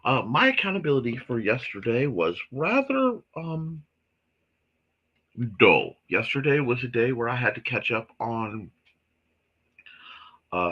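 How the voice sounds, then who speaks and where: A man hosting a casual morning livestream, his voice a bit hoarse and scratchy, talking in an apologetic, rambling way with lots of fillers. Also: medium pitch, pushed and bright, highs cut off. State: slightly hoarse from a reaction. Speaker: host of a creative morning show.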